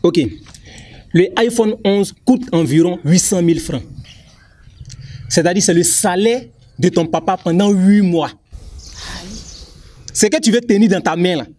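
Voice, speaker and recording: A man's voice: high-pitched, unhurried, long pauses, darkish tone, far from the mic. A man in a comedy sketch, stammering and repeating words. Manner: stammering, repeating words, mock broken English. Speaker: comic actor.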